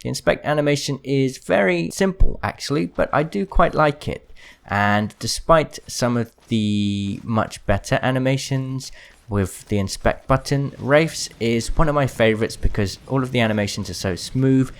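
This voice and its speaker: A man's voice: medium pitch, moderate pace, gravelly. A man giving casual, explanatory commentary as the creator of a gaming video.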